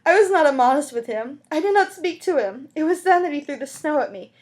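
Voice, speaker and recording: Woman acting a high-pitched, desperate begging mother. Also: expressive, fairly quick, darkish tone. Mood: tearful pleading. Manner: pleading, sobbing, agitated. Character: desperate destitute mother begging tearfully for mercy.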